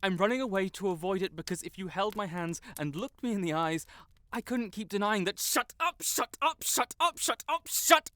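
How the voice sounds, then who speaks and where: A man's voice: high-pitched, lively intonation, moderate pace, pushed and bright, no room sound. A man playing a proud, lovestruck wizard in a romantic audio roleplay, haughty but stammering, blurting things out and backtracking. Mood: panicked, flustered. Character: proud, flustered tsundere wizard secretly in love.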